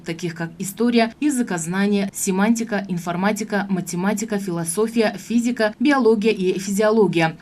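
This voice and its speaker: Female news narrator, mid-range voice, fast scripted read. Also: mid-range voice, darkish tone.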